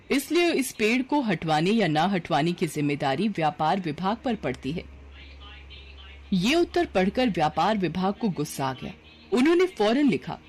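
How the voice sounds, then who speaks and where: A woman narrating a scripted story in an expressive storytelling manner. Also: medium pitch, a little hiss or hum.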